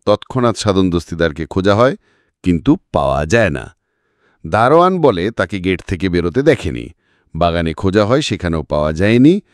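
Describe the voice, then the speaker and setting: A man's voice: sits low, sing-song, moderate pace, no room sound, studio quality. A man voicing a confident private detective in an audio story, setting out a case in a methodical, emphatic, accusing way. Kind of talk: dramatic audiobook character reading.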